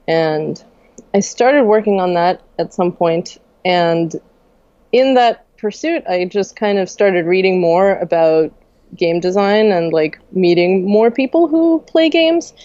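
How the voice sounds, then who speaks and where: A woman's voice: lowish voice, unhurried, no room sound, lo-fi sound. A young woman from Russia, a game designer, talking casually in a podcast interview with fillers and small laughs. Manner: relaxed, soft-spoken, many fillers and laughs.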